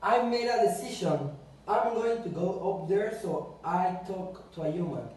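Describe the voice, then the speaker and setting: Casting: man as earnest puppet sea creature, high voice, halting non-native English phrasing, heard distantly in a very echoey room. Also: darkish tone. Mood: earnest, determined. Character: earnest older sea creature, brave spokesman for the sea. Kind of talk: amateur puppet show acting.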